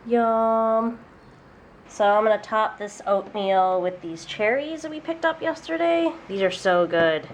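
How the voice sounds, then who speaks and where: A woman's voice: mid-range voice, a little slow, slight background noise. A young woman with a congested, stuffy voice, chatting casually and upbeat while filming a cooking vlog in a small apartment. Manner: chatty, upbeat, laughs often. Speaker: vlogger. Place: small apartment room and kitchen, indoors.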